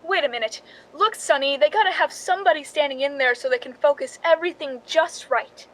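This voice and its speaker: A woman's voice: high-pitched, a little fast, lightly acted, low-quality recording. An actress playing a wry, knowing film stand-in who confides her story in a radio drama, in an understated way. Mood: patient, matter-of-fact. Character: wry, cool young woman, film stand-in and scheming narrator. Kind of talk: radio drama acting with confessional narration.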